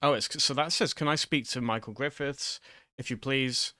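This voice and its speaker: Hesitant man with a bright voice. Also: mid-range voice, moderate pace, dry recording, clean studio sound. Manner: hesitant, rambling, self-deprecating. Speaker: online video host. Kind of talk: informal, improvised language lesson.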